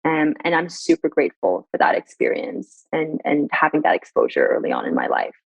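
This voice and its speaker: Young woman from Sweden, low voice, guest; recorded dry with a dull, muffled sound. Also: wildly swooping pitch, creaky, slightly rough, no echo. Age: young adult. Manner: thoughtful, measured, with frequent "um" and "you know". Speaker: guest, brand director. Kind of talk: podcast interview.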